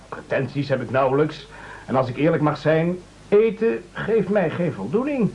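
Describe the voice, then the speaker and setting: Husky, pompous would-be cook. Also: male voice, a little high, a little mellow. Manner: pompous, declamatory. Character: self-righteous, falsely modest would-be cook, a man. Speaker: radio drama actor. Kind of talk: theatrical satirical radio play acting. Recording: dull old radio recording.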